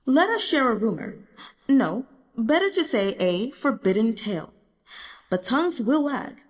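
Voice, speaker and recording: An embittered young emperor, acted out by a woman, speaks in long hall reverb. The delivery is formal, solemn and regal, swinging widely in pitch and sitting fairly high, as dramatic audiobook dialogue. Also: big pitch swings, measured pace. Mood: grave, confiding. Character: embittered, self-mocking young male emperor, regal and burdened.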